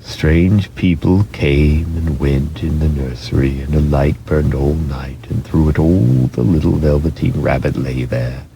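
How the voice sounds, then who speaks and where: A man giving a dramatic storybook narration in an expressive, deliberate way. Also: a bass voice, gravelly, over music, rough recording.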